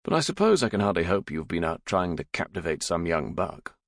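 A man's voice: low-pitched, sing-song, brisk, no room sound, exaggerated voice. A man playing a stern but fond middle-aged father in an audiobook, scolding in a dry, weary way. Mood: dry reproach.

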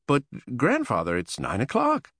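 A man's voice: mid-range voice, wildly swooping pitch, normal speed, fairly bright tone, slightly rough, no echo, clean studio sound, voice pushed up, full character voice. A man voicing a timid, flustered mouse newspaper boss in a storybook, with a mumbling, stammering delivery. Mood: timid protest.

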